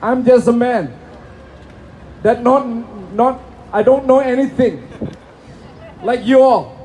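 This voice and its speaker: A man's voice: extremely high pitch, slow pace, a little mellow, slight background noise. A man from Indonesia with an Indonesian accent, speaking broken English in a fervent, preacherly rally speech and prayer outdoors in a city plaza. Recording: phone video of an outdoor rally.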